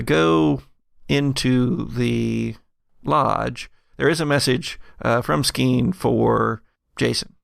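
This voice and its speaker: A man with a gravelly voice improvising narration as game master of a tabletop roleplaying game, in a halting, conversational way. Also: medium pitch, a bit bright, no echo, studio quality.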